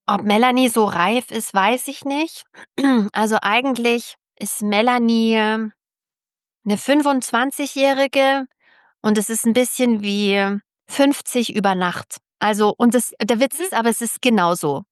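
A woman's voice: a little high, unhurried, clear, resonant tone, no echo, studio quality. A middle-aged woman speaking candidly and emphatically as an author being interviewed on a podcast, often repeating words for emphasis.

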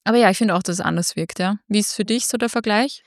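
A woman's voice: mid-range voice, fast talker, dry recording, clean studio sound. A woman chatting casually about literature as a podcast co-host.

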